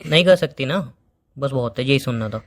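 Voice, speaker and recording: A man's voice: medium pitch, expressive, brisk, close to an everyday voice. A man playing a blunt, dismissive young boyfriend who is breaking up in a staged phone-call drama. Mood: irritated, blunt. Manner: blunt, repetitive, impatient.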